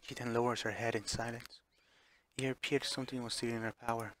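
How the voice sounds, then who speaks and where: A man's voice: mid-range voice, flat delivery, a little fast, a bit bright, dry recording. A man reading a visual novel aloud as dramatic narration, sometimes stumbling and repeating phrases. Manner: stumbles over words and re-reads phrases.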